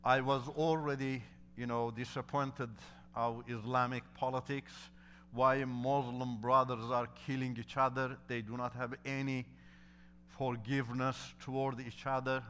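A man's voice: mid-range voice, a bit bright, a little hiss or hum. A middle-aged man whose first language is Turkish, giving an earnest testimony in non-native English that builds into emphatic preaching. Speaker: guest speaker telling his testimony. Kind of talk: testimony sermon.

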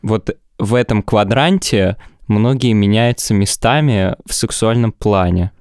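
A man's voice: fairly low pitch, a little slow, a little mellow, smooth, no room sound, studio quality. A man in his twenties co-hosting a casual podcast, hesitant and rambling. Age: 20s. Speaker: podcast co-host. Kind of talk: casual comedic podcast chat.

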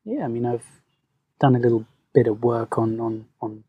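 A man, born in Venezuela, talking as a podcast guest in a soft-spoken, hesitant, reflective way. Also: medium pitch, fairly even intonation, normal speed, husky, no room sound, narrow, tinny audio. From Venezuela, raised in London. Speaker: guest, movement coach. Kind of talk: podcast conversation.

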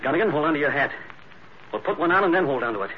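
Noisy vintage radio drama, brash wisecracking male reporter, acted, rapid talk, animated pitch. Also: lightly acted. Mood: excited, sly. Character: brash wisecracking newspaper reporter chasing a scoop. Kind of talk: 1940s radio acting. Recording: noisy muffled old radio recording.

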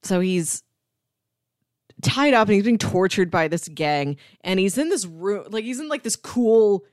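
A woman's voice: medium pitch, lively intonation, a little slow, no echo, clean studio sound. A woman co-hosting a podcast, chatting informally about a film and acting out bits of dialogue as she retells scenes. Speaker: podcast co-host. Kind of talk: casual film discussion.

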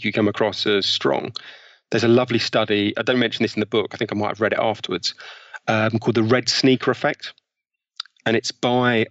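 A man's voice: lowish voice, gravelly, fairly bright tone, no room sound, lo-fi sound. A man, a guest author on a podcast, eagerly explaining studies and examples. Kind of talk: podcast interview.

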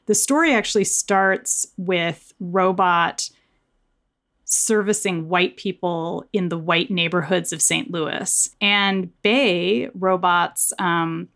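Lower-voiced woman, conversational author interview. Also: gravelly, fairly bright tone.

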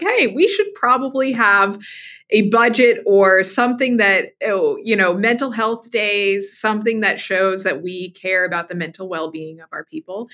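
Animated woman podcast host. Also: on the low side. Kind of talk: casual interview chat.

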